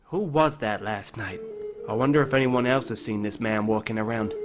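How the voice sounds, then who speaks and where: A man's voice: on the low side, moderate pace, over loud music, natural voice. A man acting as an uneasy, haunted game protagonist, narrating his own mystery story in scripted first person. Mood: uneasy narration. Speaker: narrator and main character of a game.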